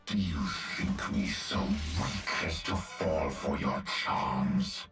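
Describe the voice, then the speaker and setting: A man voicing a menacing, brutal half-machine warlord in a video game, with a deep gravelly voice and slow, ominous, deliberate delivery. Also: over music. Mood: grim menace. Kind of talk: video game villain voice lines.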